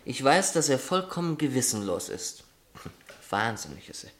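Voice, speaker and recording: Playful, wry young brother. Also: male voice, mid-range voice, big pitch swings, normal speed, fairly bright tone. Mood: wry, reading aloud. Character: teasing, affectionate, easygoing young elder brother. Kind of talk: German radio play acting.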